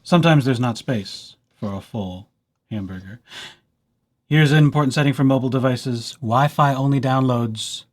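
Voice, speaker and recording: A man presenting a casual software tutorial as a library host, with playful, wry asides. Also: medium pitch, moderate pace. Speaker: library presenter hosting a digital services tutorial.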